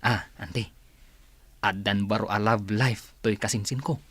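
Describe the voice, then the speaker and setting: A man's voice: on the low side, a little slow, slightly rough, no echo. A man acting in a radio drama as a playful, nosy young cousin with a teasing manner. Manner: teasing, animated.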